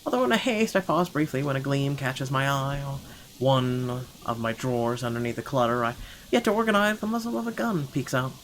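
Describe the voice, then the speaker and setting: A man voices a wealthy, short-tempered gentleman narrating his own thoughts in a horror visual novel. The delivery is dramatic and indignant at a medium pitch, over clearly audible background ambience. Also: a little fast, a little hiss or hum, lightly acted, poor audio. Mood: annoyed, wry. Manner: indignant, expressive, stumbles over the script. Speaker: gaming channel host.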